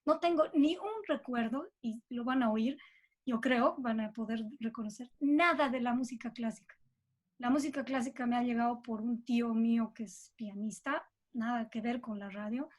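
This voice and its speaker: High-voiced Bolivian woman in conversation. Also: normal speed. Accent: Bolivian Spanish. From Cochabamba, Bolivia. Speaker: guest composer. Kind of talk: conversational interview about own work.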